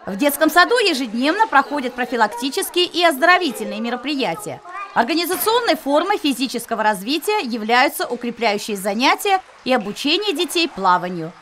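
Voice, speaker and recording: A woman's voice: sits high, very animated, brisk, sharp tone, slight background noise. A woman reading scripted narration as a reporter for a news story.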